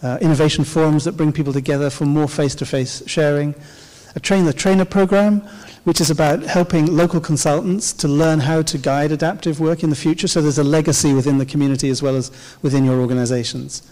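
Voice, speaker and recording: Middle-aged male keynote speaker, warm mellow tone, measured delivery, handheld mic in a big reverberant hall. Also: a little high, steady tone. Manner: measured, explanatory, occasional "uh" pauses. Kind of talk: conference keynote talk. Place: large dark auditorium stage. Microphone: handheld microphone.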